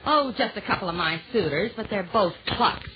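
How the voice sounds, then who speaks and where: A woman's voice: mid-range voice, expressive, normal speed, lightly acted. A woman playing a flirtatious, wisecracking fairy-tale princess, sassy and blunt, in a 1940s radio comedy. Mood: flirty, sassy. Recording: noisy, dull old 1940s radio recording.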